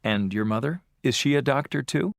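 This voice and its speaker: An adult voice playing an interviewer in a scripted textbook dialogue, asking friendly questions with measured delivery. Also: mid-range voice, animated, gravelly, no echo, studio quality. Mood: plain.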